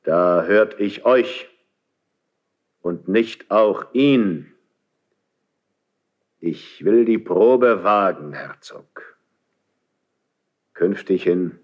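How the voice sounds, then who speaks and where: A man's voice: sits low, extremely slow, pauses often, a little mellow, full character voice. An actor playing a stern, cold aging king in a classical radio play, commanding and weighty in manner. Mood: grave, suspicious. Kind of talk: classical German radio play stage acting. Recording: dull 1970s radio drama recording.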